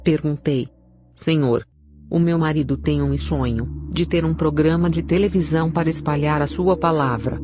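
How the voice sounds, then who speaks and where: Brazilian lady narrating a scripted religious testimony, music playing clearly behind her. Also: sits low, moderate pace. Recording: clear background music under the voice.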